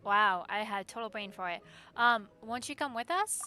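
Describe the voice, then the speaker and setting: A woman's voice: medium pitch, moderate pace, sharp tone, faint music behind. A woman livestreamer reading game dialogue aloud and chatting playfully.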